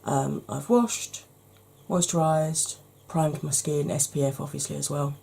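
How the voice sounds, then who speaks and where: Hoarse, husky low-voiced woman. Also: fairly even intonation, unhurried, warm tone. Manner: chatty, addresses viewer directly, frequent ums. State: hoarse from hay fever. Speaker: makeup tutorial host. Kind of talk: casual step-by-step makeup tutorial.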